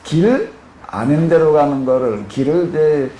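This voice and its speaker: A man's voice: fairly high pitch, expressive, a little slow, mellow. A male pastor with a Jeolla accent lecturing at a Bible seminar, rambling with many fillers and putting questions to his listeners. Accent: Korean Jeolla dialect. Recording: echoey room with faint noise.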